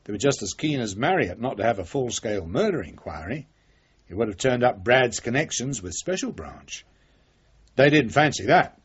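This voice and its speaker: Husky, wily British police chief, animated delivery. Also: male voice, mid-range voice, moderate pace. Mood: probing, cynical. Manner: blunt, sardonic, probing. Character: cornered, wily veteran police chief inspector, defiant and cynical. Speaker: actor in radio drama. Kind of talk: 1980s British radio drama acting. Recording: dull, muffled old radio recording.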